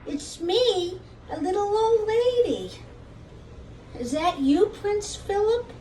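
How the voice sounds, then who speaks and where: A woman voicing a frail, plaintive little old lady who pleads slowly in a children's puppet show. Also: very high-pitched, expressive, mellow, voice pushed up, exaggerated voice. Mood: plaintive, pleading. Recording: roomy, distant mic, faint noise.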